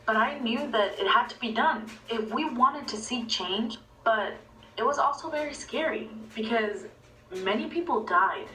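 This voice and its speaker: A woman's voice: fairly high pitch, pushed and bright. A woman in her twenties from Venezuela, narrating her own personal story. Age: 20s. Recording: echoey, distant playback with faint noise.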